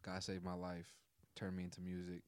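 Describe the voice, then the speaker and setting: Young man from brooklyn, low voice, laid-back slangy chat, dry close sound. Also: monotone, fairly quick, clear, resonant tone, no room sound. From Brooklyn, New York. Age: 20s. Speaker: guest DJ. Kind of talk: casual podcast chat.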